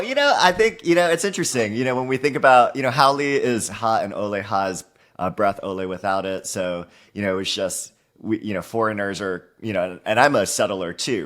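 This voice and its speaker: Low-voiced man from Hawaii, chatty guest. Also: fairly bright tone. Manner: upbeat, heavy use of "you know" and "like". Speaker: guest author. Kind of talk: casual interview conversation.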